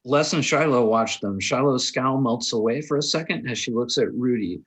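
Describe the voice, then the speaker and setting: A man with a husky voice narrating a script's stage directions at a table read. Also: mid-range voice, animated, moderate pace.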